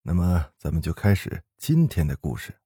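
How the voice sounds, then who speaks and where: A man's voice: low voice, big pitch swings, moderate pace, mellow, breathy edge, dry recording, clean studio sound. A man hosting and narrating ghost stories in a conversational, folksy, suspenseful storytelling style. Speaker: horror podcast host and narrator.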